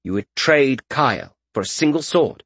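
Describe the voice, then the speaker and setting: A man's voice: medium pitch, animated, normal speed, fairly bright tone, breathy edge, no echo, pitched above the performer's own voice. A man voicing a cool, calculating warrior negotiator in a fantasy audiobook, formal and controlled. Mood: cautious, questioning.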